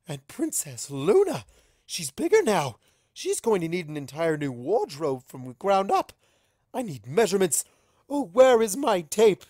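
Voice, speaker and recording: A man voicing a fussy, excitable female cartoon pony with a dramatic, bossy way of talking. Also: high voice, a little slow, no room sound, studio quality, voice pushed up, heavily acted. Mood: excited, fussy. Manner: dramatic, imperious. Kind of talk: cartoon fan fiction character reading.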